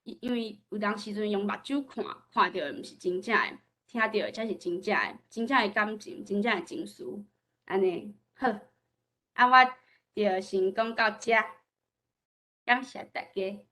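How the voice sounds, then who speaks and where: A woman's voice: mid-range voice, normal speed, pushed and bright. A woman with a Taiwanese accent informally sharing her experience as a student, hesitantly repeating the starts of phrases.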